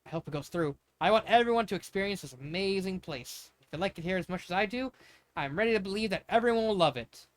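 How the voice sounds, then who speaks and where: A storyteller voicing a sweet, energetic, playful girl who gushes with sunny excitement. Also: mid-range voice, quick pace, lightly acted, low-quality recording. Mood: enthusiastic. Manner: gushing, excitable.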